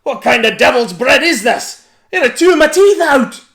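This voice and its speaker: A storyteller voicing a huge, gluttonous bully giant, loud and bellowing. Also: male voice, high voice, sing-song, a little slow, creaky, echoey room, exaggerated voice.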